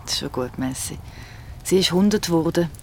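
A woman's voice: lowish voice, lively intonation, brisk, gravelly, darkish tone, slight background noise. A woman playing an understated, bereaved friend with a Swiss German accent in colloquial audio drama dialogue. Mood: subdued, accepting. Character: bereaved friend, quietly accepting a grandmother's death.

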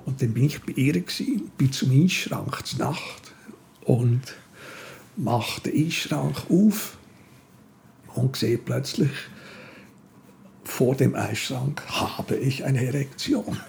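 Creaky older Swiss man, reminiscing casually. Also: mid-range voice, animated, slow pace, pauses often, darkish tone. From Switzerland. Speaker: interview guest. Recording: clear ambient background noise.

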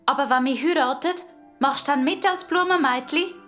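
A woman's voice: high-pitched, moderate pace, a bit bright, soft background music, pitched above the performer's own voice. A woman voicing an eager, plucky young girl in a children's story.

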